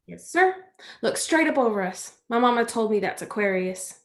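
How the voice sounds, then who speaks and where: Gentle American farm girl, played by a woman, folksy and comforting, for a stage drama reading. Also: a little high, lively intonation, normal speed. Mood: playful, eager. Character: gentle, chatty rural girl, playful and comforting.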